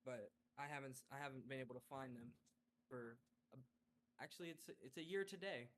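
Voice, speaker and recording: A man playing a tabletop game, improvising his character's lines casually in a halting, hesitant way. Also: medium pitch, animated, a bit bright.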